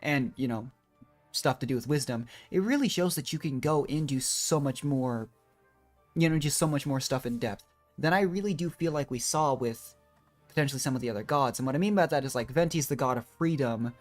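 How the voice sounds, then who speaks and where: Very low-voiced woman streamer thinking aloud. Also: fairly quick, faint music behind. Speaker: game streamer. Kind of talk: casual chatty game commentary.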